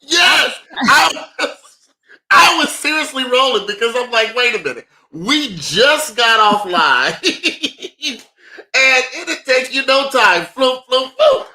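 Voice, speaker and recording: A man in his thirties, a comedian guest on a video call, chatting with exuberant, theatrical bursts of loud exclamations. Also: very high voice, big pitch swings, slow pace, low-quality recording. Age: 30s. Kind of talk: excited casual chat.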